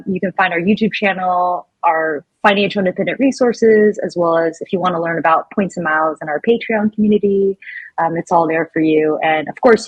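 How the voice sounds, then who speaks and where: Husky woman chatting as podcast guest. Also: fairly low pitch, no echo, low-quality recording. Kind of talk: casual interview chat.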